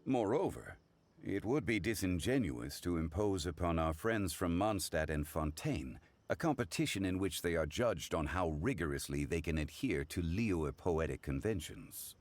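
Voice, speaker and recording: Learned gentleman consultant for a game: very deep, composed, measured, formally polite. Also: lively intonation. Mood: thoughtful. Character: dignified, erudite gentleman consultant, calm and formal. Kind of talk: animated video game voice acting.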